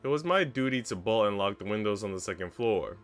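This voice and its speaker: A man's voice: fairly low pitch, expressive, fairly quick, natural voice. A man voicing a haughty woman, a senior housemaid who bullies with false sweetness in a theatrical, condescending way. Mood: mock-wounded. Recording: music behind the voice.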